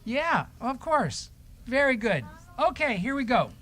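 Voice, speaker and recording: A man speaks very slowly to a group of children during a church service. He asks simple questions, echoes their answers, and reads short letters aloud. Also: very high-pitched, big pitch swings, fairly bright tone. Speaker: leader of a children's story time in church.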